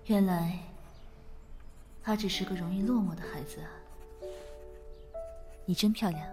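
A woman playing a kind, calm office manager in a modern audio drama, gently teasing and soothing. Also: lowish voice, unhurried, faint music behind, close to an everyday voice. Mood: warm, teasing.

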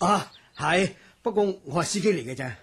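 Radio drama role: deferential chauffeur on an errand, brisk and mannerly, Hong Kong Cantonese accent. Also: male voice, a little high, animated, fairly bright tone, breathy edge, heavily acted. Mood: polite, hurried. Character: polite, hurried chauffeur running an errand.

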